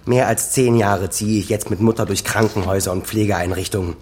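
An actor playing a bitter, wounded grown son who speaks in a reproachful, sardonic way in a radio drama. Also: male voice, lowish voice, steady tone, a little fast, a little mellow, close to an everyday voice. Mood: bitter, contemptuous. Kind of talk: German radio drama dialogue.

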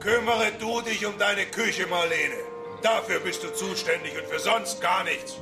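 Cruel workshop boss, high-pitched, creaky, barking, domineering, radio play with music behind. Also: male voice, measured pace. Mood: gruff, menacing. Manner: barking orders, threatening. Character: cruel, domineering tanner boss who bullies children. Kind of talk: German children's radio play acting.

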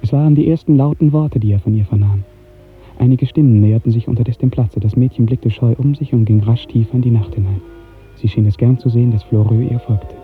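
A man narrating a literary 1950s radio drama in a measured, precise storytelling manner. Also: mid-range voice, steady tone. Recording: narrow-band 1950s radio recording, faint music.

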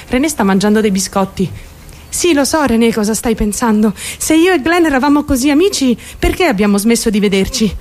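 An unstable, delusional young woman in a radio play monologue. Her voice sits fairly high. She confides bluntly, defiant and obsessive, swearing and turning abruptly as she presses the listener to agree. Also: darkish tone. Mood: confiding, bitter. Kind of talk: theatrical radio monologue in present tense.

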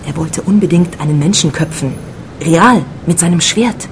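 A woman's voice: lowish voice, moderate pace, slight background noise, close to an everyday voice. An actress playing a cool, curt, alluring female crime novelist in a radio play. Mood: confiding.

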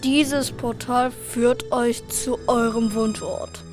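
Solemn prisoner of a cursed forest played by a young female, high-pitched, monotone, school radio drama. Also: takes it slowly, faint music behind. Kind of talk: amateur school radio play.

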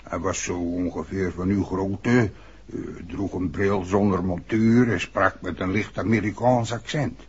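Husky, chatty village innkeeper. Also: male voice, sits low, fairly even intonation, a little slow, a little mellow, exaggerated voice. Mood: confiding, chatty. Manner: colloquial, folksy, peppers speech with tag questions. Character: chatty, gossipy village innkeeper, plain-spoken older man. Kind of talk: 1960s radio drama acting. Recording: muffled old radio recording.

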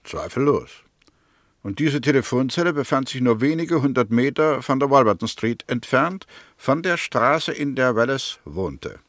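An actor playing an assertive, methodical prosecutor in a radio play, speaking formally and insistently. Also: male voice, mid-range voice, lively intonation, moderate pace, fairly bright tone, no echo, clean studio sound. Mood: certain, arguing. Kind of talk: German radio drama acting.

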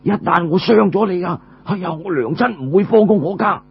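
An eager young warrior played by a male storyteller pleads and exclaims in a highish, animated voice. He stammers in his urgency. The storybook recording is lo-fi and hummy. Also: moderate pace, vocal fry, breathy edge, dry recording, heavily acted. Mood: theatrical urgency.

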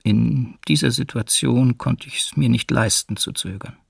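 An actor playing a wary male prisoner giving measured testimony about his interrogation in a radio drama. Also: mid-range voice, gravelly, slightly rough, no room sound, studio quality. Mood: tense recollection.

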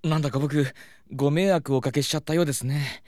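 A man's voice: a little high, fast, lightly acted. A man playing a polite, worry-prone young talent manager who gets whiny and flustered, in a comedic audio drama. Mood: apologetic, glum. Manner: polite, anxious, excitable.

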